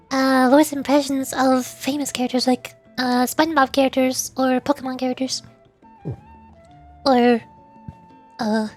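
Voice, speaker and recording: A woman streamer chatting casually with viewers in a playful, cutesy manner. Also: sits high. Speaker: streamer and voice performer. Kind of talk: casual livestream chat, answering viewers.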